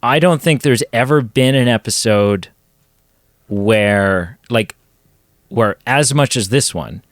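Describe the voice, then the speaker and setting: A man co-hosting a casual podcast chat, going hoarse as he talks, rambling with quick self-deprecating asides. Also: medium pitch, no room sound, studio quality. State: losing his voice. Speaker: podcast co-host.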